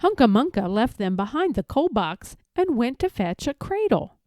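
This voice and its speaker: A woman reading a children's story aloud in an expressive, measured, emphatic storytelling manner. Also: a little high, no room sound, studio quality. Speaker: storybook reader.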